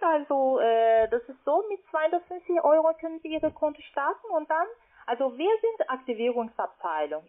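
High-voiced foreign-accented woman on the phone. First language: not German. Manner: halting, non-native phrasing. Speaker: call-centre sales agent. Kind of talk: phone sales pitch. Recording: thin, band-limited phone call.

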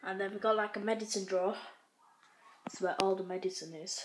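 A woman's voice: medium pitch, steady tone, brisk. A woman with an English accent giving a casual tour of her home, talking in a chatty, unsure way with lots of fillers while filming on a handheld phone indoors. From England. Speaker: vlogger giving a house tour. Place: home kitchen and living room, indoors. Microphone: handheld phone camera while walking. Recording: noisy phone audio with handling clicks.

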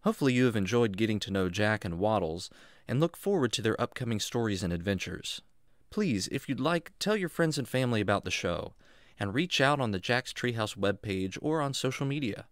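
A man's voice: lowish voice, animated, a little fast, no echo, clean studio sound. A man reading a scripted podcast outro as the show's creator and announcer.

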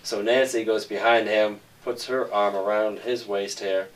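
A man's voice: on the low side, level tone, unhurried. A middle-aged man explaining a film plot in conversation as its screenwriter. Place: indoors on a sofa, group interview. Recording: distant mic with background noise.

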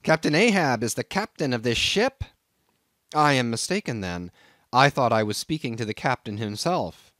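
A man's voice: mid-range voice, lively intonation, moderate pace, fairly bright tone, no room sound, clean studio sound, lightly acted. A man voicing a polite, eager young sailor asking for a berth. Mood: earnest. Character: earnest young male sailor seeking a berth.

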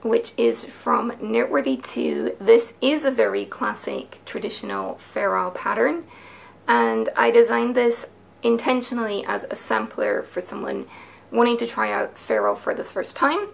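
A woman's voice: fairly high pitch, moderate pace, vocal fry. A woman in her thirties presenting her knitting patterns in a chatty, enthusiastic way, explaining techniques step by step, seated at a craft table in a small home studio. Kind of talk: casual explanatory video talk.

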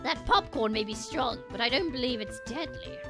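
A woman voicing earnest, puzzled young cartoon penguin detectives, reading game dialogue in an expressive, inquisitive way with music behind. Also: a little high, moderate pace, lightly acted. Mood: earnest, thoughtful.

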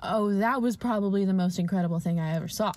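A woman's voice: mid-range voice, fast, a little mellow, slight background noise, natural voice. A woman playing a polite, wide-eyed and hesitant doctor in 1940s radio drama style.

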